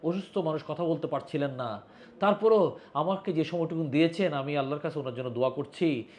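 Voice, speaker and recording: Solemn, emphatic man, higher-pitched voice. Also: a little fast, darkish tone. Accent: Bangladeshi. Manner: emphatic, solemn, with rhetorical repetition. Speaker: social volunteer addressing video viewers. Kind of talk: heartfelt spoken tribute and appeal to viewers.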